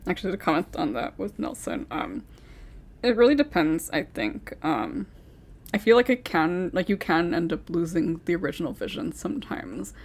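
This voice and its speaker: A young woman hosting a casual panel chat, chatty and quick to laugh, sometimes losing her train of thought. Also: on the low side, lively intonation. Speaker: panel host.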